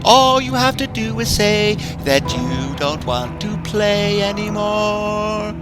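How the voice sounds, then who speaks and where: A man putting on a high, sing-song demented clown. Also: animated, slow pace. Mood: taunting. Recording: music bed behind the voice.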